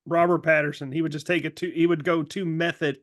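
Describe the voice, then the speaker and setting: A man's voice: on the high side, level tone, fast, a bit bright, no room sound, studio quality. A man co-hosting a podcast, talking casually and joking in comedic banter. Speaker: podcast co-host.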